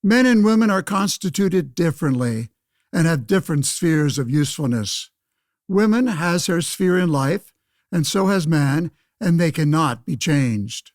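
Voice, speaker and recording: An actor playing a pompous, self-righteous 1911 state senator in a radio play, speaking in formal, declamatory phrases. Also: male voice, high voice, darkish tone, no echo, studio quality. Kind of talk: pseudo-radio play interview and oratory.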